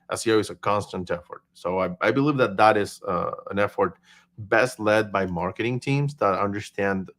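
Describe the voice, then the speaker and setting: A man's voice: on the low side, fairly bright tone. A man from Argentina, a guest on a livestream, chatting upbeat and talkative with light humor over a video call. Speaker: agency CEO, guest. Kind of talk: casual livestream chat.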